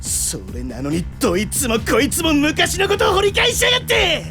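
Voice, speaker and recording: A man's voice: extremely high pitch, wildly swooping pitch, normal speed, full character voice. A man playing a hot-headed young reformed delinquent in an audio drama, blustering and prone to stammering. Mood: enraged. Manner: rough, blustering, stammers when rattled. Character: hot-headed young male delinquent turned baseball player. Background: background music.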